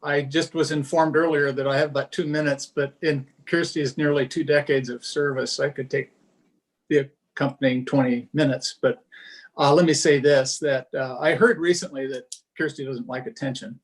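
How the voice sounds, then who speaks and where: Man, award speech, video call, halting, lots of ums. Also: mid-range voice, fairly even intonation. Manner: warm, halting speech with many fillers. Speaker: presenter of the award.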